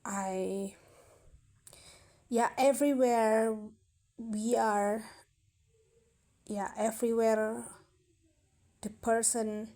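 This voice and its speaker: Indonesian girl vlogging in english, super slow, searches for words a lot, soft mellow tone. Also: a little high, steady tone. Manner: halting, searching for words, many fillers and restarts. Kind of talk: casual storytelling to camera.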